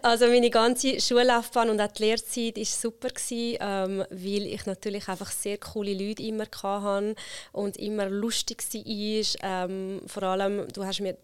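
A woman's voice: medium pitch, level tone, unhurried, clean tone. A middle-aged woman with a Swiss German accent, chatting casually as an interview guest, with many fillers and frequent laughter. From Switzerland.

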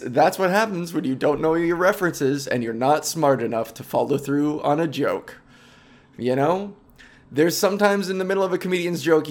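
Rambling, swearing podcaster in his thirties. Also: fairly high pitch. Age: 30s. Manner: rambling, self-deprecating, profane, jumps between tangents. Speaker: podcast host. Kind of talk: casual comedic podcast monologue.

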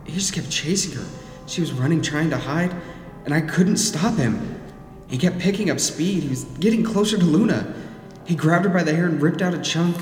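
A man's voice: on the high side, moderate pace, darkish tone, natural voice. A man acting as a troubled narrator possessed by a cursed mask in a dramatic, confessional horror audio drama. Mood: frantic, pleading. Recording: echoey hall reverb, music underneath. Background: music.

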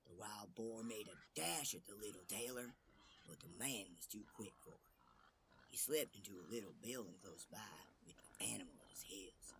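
Male storyteller, lowish voice, dramatic fairy-tale narration with hushed endings, some ambient noise behind. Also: moderate pace, vocal fry.

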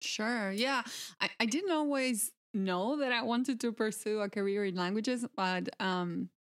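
A woman from Argentina, a translator guest, chatting in a relaxed interview. Her voice is smooth and clear at a middle pitch. She laughs easily and fills pauses with "um.". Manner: relaxed, laughs often, many fillers.

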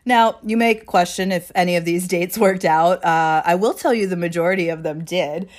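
Solo podcast host, a woman with a cold: raspy, stuffed-up, slightly low voice. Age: 20s. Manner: pauses to catch breath.

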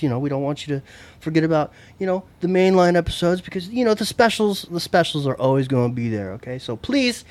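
A man hosting a casual podcast, chatty and joking, with quick asides to his guests. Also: medium pitch. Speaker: podcast host. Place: indoor recording room.

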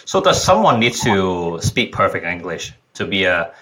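A man's voice: low-pitched, very animated, moderate pace, fairly bright tone, breathy edge. A man hosting a podcast, who reads a scripted welcome and then chats casually with his guest, laughing often. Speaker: podcast host.

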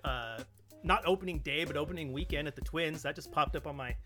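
A man telling stories casually on a podcast in an animated way, acting out the dialogue he quotes. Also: a little high, fast, over music. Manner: animated storyteller who acts out quoted dialogue. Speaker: podcast co-host.

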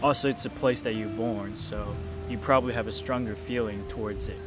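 A man's voice: on the low side, fairly even intonation, brisk, over music. A man interviewing a guest in casual, loosely worded English, stringing his questions together in long rambling runs.

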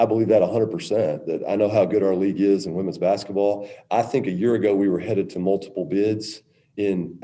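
A middle-aged man, a women's basketball coach, answering press questions in a halting way with many restarts. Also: deep voice, dark tone.

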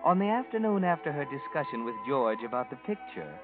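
A man's voice: medium pitch, very animated, a little fast, close to an everyday voice. An actor playing a sinister, all-knowing male narrator in a 1940s radio drama, speaking in an insinuating, knowing tone over music, on a tinny old recording.

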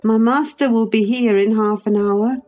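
A woman's voice: fairly high pitch, fairly even intonation, moderate pace, warm tone, faint music behind, voice pushed up, natural voice. A woman voicing a blunt, anxious housekeeper with an urgent, exclamatory manner in a dramatized novel reading. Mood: brisk warning.

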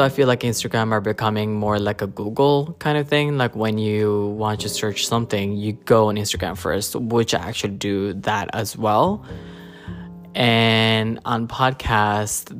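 A podcast host speaking casually and unscripted in a hesitant, rambling, informal way. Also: medium pitch.

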